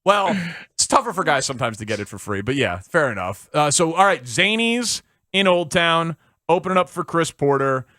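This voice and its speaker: Bright-voiced radio host guy, bouncy pitch, dry close mic. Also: normal speed, no echo. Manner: lively, joking, stumbles over words. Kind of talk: casual radio chat. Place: radio studio.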